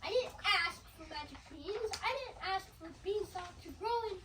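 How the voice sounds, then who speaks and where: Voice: extremely high pitch, expressive, normal speed, background noise. A child playing Jack, a defensive boy on trial, in a school reader's theatre performance, recorded noisily from a distance. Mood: pleading. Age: child, third grader. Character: Jack, quick-footed boy on trial, defensive then contrite.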